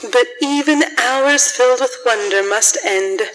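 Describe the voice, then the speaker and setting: A woman narrating a scripted story for a radio drama in a measured storytelling manner. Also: fairly high pitch, a bit bright, a little hiss or hum, low-quality recording.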